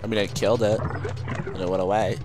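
A man with a cold giving animated, casual commentary while playing a video game at home, with game music behind him. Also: lowish voice, normal speed. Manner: animated, exclamatory reactions. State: has a cold. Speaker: Let's Play gamer.

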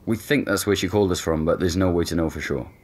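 Audio drama: laid-back young man, deep, halting, wry. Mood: casual. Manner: relaxed, joking, hesitant with fillers. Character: easygoing young man, boyfriend who owns the house.

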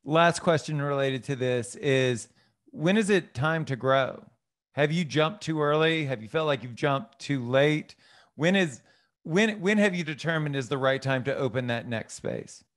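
A man's voice: fairly high pitch, unhurried. An American man in his thirties hosting an interview, asking questions conversationally into a close studio mic. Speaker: host, interviewer. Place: indoor home studio. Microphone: studio mic on boom arm, close.

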